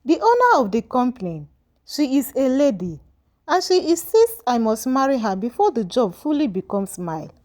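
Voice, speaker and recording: Woman reading as an earnest, ambitious husband, lively intonation. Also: high-pitched, moderate pace. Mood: troubled. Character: troubled, ambitious husband, hesitant but self-serving. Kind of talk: storybook dialogue.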